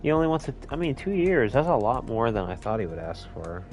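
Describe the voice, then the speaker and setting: An American man giving rambling, casual gameplay commentary at home, chuckling often and quick to rant. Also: medium pitch, very animated, darkish tone, faint music behind. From United States. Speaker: gaming video host. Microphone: home recording setup.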